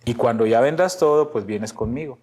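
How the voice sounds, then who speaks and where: A man's voice: medium pitch, fairly quick, darkish tone, slightly rough. A middle-aged Colombian man with a paisa accent, telling personal stories casually as a podcast guest and acting out bits of dialogue. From Medellín, Antioquia, Colombia.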